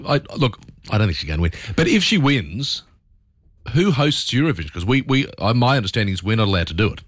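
A man's voice: fairly low pitch, big pitch swings, fast, dry recording, clean studio sound. A man hosting a radio show, jokey and upbeat. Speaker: radio host. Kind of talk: radio chat.

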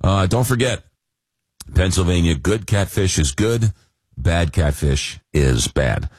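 A man with a very deep, husky voice hosts a call-in radio show, a little hoarse from a cold. He rambles casually, full of "uh"s, with a dry sense of humor. The studio sound is close and dead, with no room echo. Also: expressive, takes it slowly, a little mellow. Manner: rambling, many fillers, dry joking. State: fighting a cold, losing his voice. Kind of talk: casual late-night call-in radio talk.

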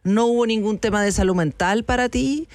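A woman's voice: medium pitch, brisk, a little mellow, dry recording. A woman who hosts the interview and asks probing, conversational questions. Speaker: host.

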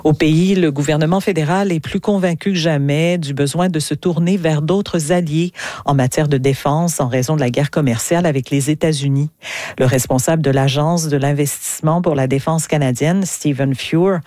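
A woman's voice: deep voice, normal speed, mellow, no room sound, clean studio sound. A woman reading scripted news as a radio anchor.

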